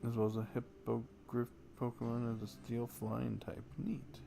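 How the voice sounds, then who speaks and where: A man's voice: mid-range voice, flat delivery, moderate pace, background noise. A man livestreaming a video game, musing aloud and reacting casually as he plays. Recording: stream with clear game music behind.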